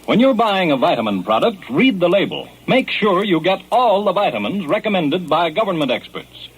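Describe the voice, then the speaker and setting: A man's voice: high voice, big pitch swings, moderate pace, husky, poor audio. A man reading a punchy old-time radio advertisement. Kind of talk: punchy vintage radio ad read.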